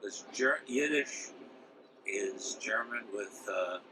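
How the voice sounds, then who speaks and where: A man's voice: on the low side, animated, slow, background noise. A man answering a street interview outdoors in a dry, matter-of-fact way.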